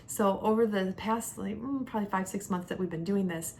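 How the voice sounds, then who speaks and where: A woman's voice: medium pitch, a little fast, darkish tone, a little hiss or hum. A young adult woman talking casually to camera in a product review, chatty and conversational, leaning on key words. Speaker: homeschooling mother and vlogger.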